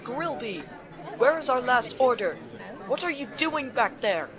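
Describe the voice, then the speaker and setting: A woman's voice: high-pitched, animated, a little slow, dull audio, a crowd in the background. A woman voicing a stern adult male fire-monster bar owner giving curt, impatient orders in a fan comic dub.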